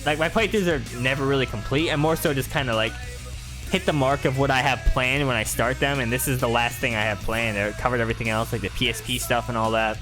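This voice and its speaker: A man's voice: mid-range voice, steady tone, fast talker. A man hosting a gaming video with casual, chatty commentary. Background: faint music.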